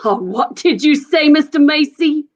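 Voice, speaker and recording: A woman's voice: high voice, expressive, a little slow, dull audio. A woman playing an authoritative but easily flustered judge in a 1940s-style radio play.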